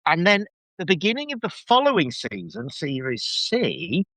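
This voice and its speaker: Chatty, enthusiastic man with lively, swooping intonation. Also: high voice, normal speed, dry recording, poor audio. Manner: chatty, enthusiastic, rambling asides. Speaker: podcast guest. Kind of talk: casual fan review chat.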